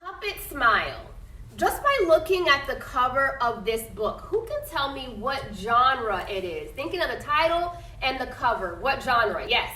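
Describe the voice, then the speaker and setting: A woman's voice: high-pitched, very animated, measured pace, bright, forceful tone. A woman teacher reading a picture book aloud to her class, stopping often to ask questions and check understanding with "okay?". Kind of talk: classroom read-aloud lesson. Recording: echoey classroom from a distance.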